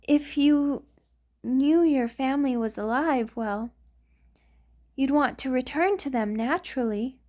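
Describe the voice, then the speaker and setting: Woman acting a tender, high-voiced vampire lover. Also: measured pace, darkish tone. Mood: guilty, fearful. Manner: intimate, hesitant, sighing delivery. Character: centuries-old wealthy female vampire, tender, doting, anxious lover. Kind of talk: ASMR romantic roleplay monologue.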